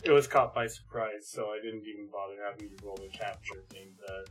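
A man running a tabletop game as game master, narrating casually in a halting, conversational way. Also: lowish voice, fairly even intonation, a bit bright, soft background music.

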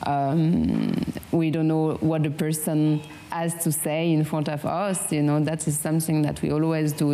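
A woman with a French accent, hosting a relaxed meditation chat and often pausing to search for words. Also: sits low, slight background noise. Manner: halting, searching for English words, frequent fillers. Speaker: meditation channel host. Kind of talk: casual guided meditation chat.

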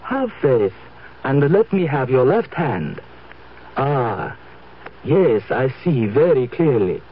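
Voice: mid-range voice, big pitch swings, slow pace. A performer playing a mysterious, theatrical fortune teller in a comic radio ad sketch. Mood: mystical, assured. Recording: muffled, hissy old radio recording.

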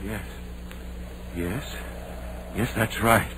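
Determined small-town doctor, old noisy radio. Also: male voice, lowish voice, very slow, exaggerated voice. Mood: urgent. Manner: urgent, forceful, ordering others along. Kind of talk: 1930s radio drama acting.

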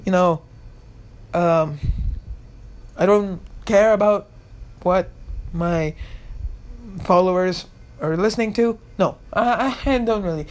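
A woman's voice: lowish voice, slow, pauses often, low-quality recording. An eighteen-year-old woman hosting her own podcast in casual, rambling chat, full of fillers and restarts, earnestly insisting she means what she says. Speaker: podcast host and YouTuber.

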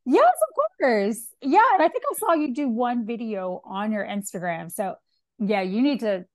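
A woman's voice: a little high, moderate pace, no room sound. A woman hosting a casual interview podcast, encouraging her guest with brief affirmations. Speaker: podcast host. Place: Florida.

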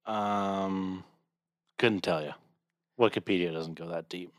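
Wry low-voiced man on a podcast. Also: steady tone, slow, dry recording, clean studio sound. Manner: wry, offhand remarks. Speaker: podcast co-host. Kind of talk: casual chat.

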